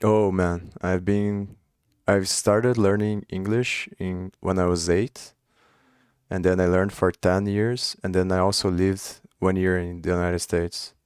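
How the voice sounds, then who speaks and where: Man, Brazilian Portuguese accent, native Portuguese speaker, clear voice, dry close sound, casual thinking-aloud chat. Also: low voice, level tone, a little slow, a little mellow, dry recording. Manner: thinking aloud, restarts and trailing phrases. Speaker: coding streamer. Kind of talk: casual chat while coding on a livestream.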